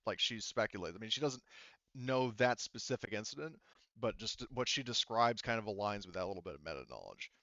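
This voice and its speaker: A man speaking casually as a game master, explaining things in a rambling, halting way with some swearing. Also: on the low side, animated, pushed and bright, no echo, muffled recording. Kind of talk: casual improvised explanation of tabletop game rules and lore.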